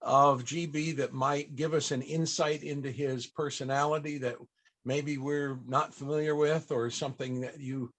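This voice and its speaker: A man hosting a panel, asking questions in a casual, halting, conversational way. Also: on the high side, fairly even intonation, a bit bright. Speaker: panel host and interviewer. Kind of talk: casual interviewing.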